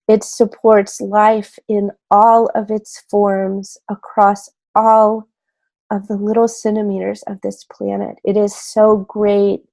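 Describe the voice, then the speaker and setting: A woman leading a guided meditation in a gentle, soothing way, unhurried and pausing between short phrases. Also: mid-range voice, vocal fry.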